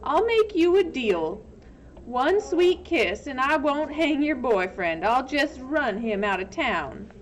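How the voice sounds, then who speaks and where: A woman voicing a drunken, lecherous male small-town sheriff with a slow, smug drawl in a storybook reading. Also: sits high, sing-song, voice pushed up, heavily acted. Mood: taunting.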